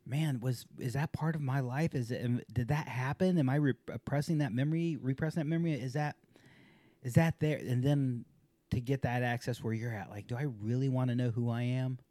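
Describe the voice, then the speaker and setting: A man's voice: medium pitch, brisk, dark tone, dry recording. Frank, profane man in his forties. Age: 40s. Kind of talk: candid podcast conversation.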